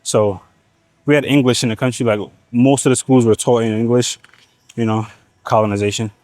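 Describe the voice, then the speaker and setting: A man's voice: mid-range voice, steady tone, moderate pace, darkish tone, dry recording. A man from Nigeria whose first language is Igbo, rambling casually in a gym vlog with slang and swearing. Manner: slangy, profane, rambling asides. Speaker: fitness vlogger.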